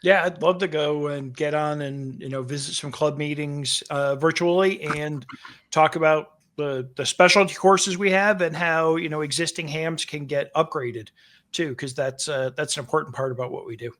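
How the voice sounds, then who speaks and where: A man's voice: a little high, fairly even intonation, normal speed, a bit bright. A man co-hosting a podcast in casual chat. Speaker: podcast co-host, course instructor.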